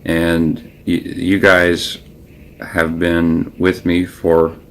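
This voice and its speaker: A man whose native language is Creole French, speaking in a reflective, unscripted personal monologue, halting and searching for words. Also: low-pitched, monotone, fairly bright tone. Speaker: personal documentary narrator.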